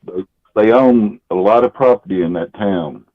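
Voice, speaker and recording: Low-voiced man caller on a phone line. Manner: deliberate, pausing between words.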